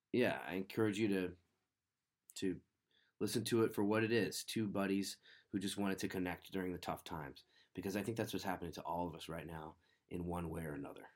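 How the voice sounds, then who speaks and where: A man hosting a podcast, a bit nasal and sniffly from a cold, talking in a relaxed, rambling, earnest way. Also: low-pitched. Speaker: podcast host. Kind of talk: casual podcast intro.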